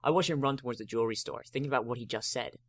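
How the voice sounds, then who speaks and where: A man's voice: mid-range voice, racing. A man giving a dramatic first-person reading of a story as narrator.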